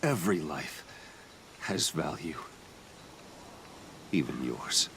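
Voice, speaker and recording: An actor playing a grim, stoic vigilante hero in a video game, with a gravelly voice and a terse, measured manner. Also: male voice, lively intonation, background noise, heavily acted. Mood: solemn. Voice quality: low, gravelly. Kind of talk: dramatic video game voice acting. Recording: game audio with music underneath.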